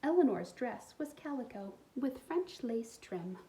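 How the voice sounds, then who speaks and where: A woman reading a picture book aloud to young children in an expressive way, pausing to explain things to them. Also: on the high side, background noise. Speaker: storytime reader for a school community.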